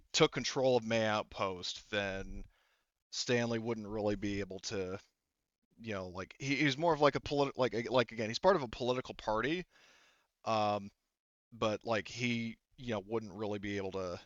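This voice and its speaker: Man, game master, smooth clear voice, improvised narration, halting and rambling, dry slightly dull microphone. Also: on the low side, fairly bright tone, no echo. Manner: halting, filler-heavy, rambling explanations.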